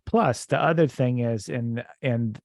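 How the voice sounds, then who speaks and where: A man's voice: mid-range voice, measured pace, vocal fry, no room sound, studio quality. A man hosting a casual podcast interview, rambling with lots of fillers and restarts. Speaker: podcast host.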